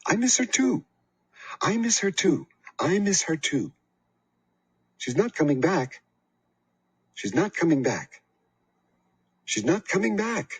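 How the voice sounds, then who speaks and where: A man's voice: very animated, slow, long pauses, breathy edge, heavily acted. A man doing a shrieking furious aunt. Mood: screaming. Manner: shrill, screaming, harsh. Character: furious aunt screaming at a child. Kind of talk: audiobook reading.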